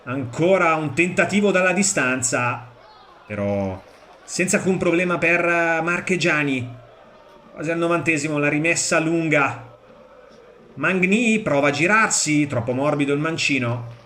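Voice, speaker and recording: A man commentating football highlights, describing each play analytically in short clipped phrases. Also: lively intonation, a little slow, sharp tone, a little hiss or hum.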